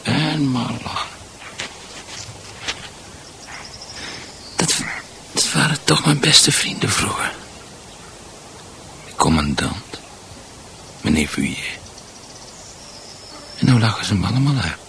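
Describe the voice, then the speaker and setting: Bewildered, creaky-voiced rambling husband. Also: male voice, medium pitch, animated, sharp tone, slight background noise, exaggerated voice. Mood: hurt, brooding. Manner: muttering, halting, repeats himself. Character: bewildered, rambling husband losing his grip, meekly polite. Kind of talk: radio drama acting.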